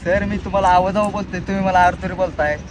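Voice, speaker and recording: High-voiced young man, street noise. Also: little pitch movement, fast talker. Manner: insistent, repetitive, mock-polite. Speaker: prank caller and video host. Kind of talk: prank phone call, casual confrontational chat.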